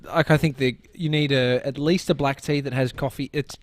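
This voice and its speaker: A man's voice: medium pitch, steady tone, normal speed. An Australian man co-hosting a podcast, chatting casually in a blunt, matey way close to the microphone. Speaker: podcast co-host. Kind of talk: casual banter. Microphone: close studio podcast microphone.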